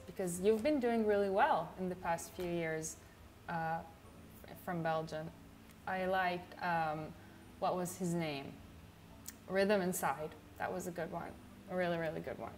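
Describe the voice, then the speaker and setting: A young woman from Israel with an Israeli accent, chatting casually on a livestream in a chill, low-energy way with lots of fillers. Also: a little hiss or hum. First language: not English. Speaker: streamer.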